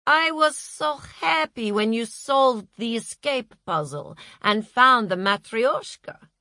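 Woman acting a female wellness guru, zealous, condescending, very slow and deliberate, bright, audiobook character. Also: on the high side, expressive, no echo, clean studio sound, voice pushed up, full character voice. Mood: pleased, smug. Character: serenely fanatical Russian wellness guru woman, patronizing.

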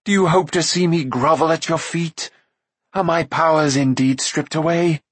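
A man's voice: mid-range voice, level tone, a little slow, slightly rough, dry recording. A fallen sorceress queen from a fantasy storybook, acted out by a man. The delivery is imperious and anguished, full of bitter pride and despair, in a close, dry recording. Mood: anguished defiance.

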